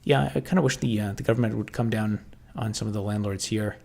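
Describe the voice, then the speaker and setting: A man with a Canadian accent chatting casually on a livestream, answering viewers and thinking aloud in halting, restarting sentences. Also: fairly low pitch, gravelly, darkish tone.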